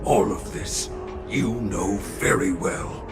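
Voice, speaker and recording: A man voicing a bitter fallen warrior in a video game, halting and theatrical, with an echo on his voice. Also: lowish voice, vocal fry. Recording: echoing voice under loud music. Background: loud game music.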